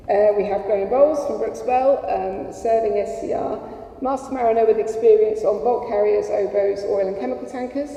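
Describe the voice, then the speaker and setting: A woman's voice: mid-range voice, vocal fry, mellow. A woman chairing a conference panel, introducing speakers in a chatty, self-deprecating way with many fillers. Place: conference hall. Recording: echoey hall from far off.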